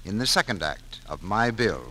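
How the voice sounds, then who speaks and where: A man's voice: lowish voice, sing-song, normal speed. A man announcing and selling in scripted 1940s radio style, polished and emphatic. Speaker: announcer, pitchman. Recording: vintage 1940s radio, faint noise.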